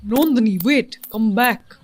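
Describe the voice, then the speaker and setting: A woman's voice: fairly high pitch, measured pace, over music, close to an everyday voice. A woman playing an earnest, philosophical professor in an audio play, declaiming in a poetic and ominous way. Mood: coaxing.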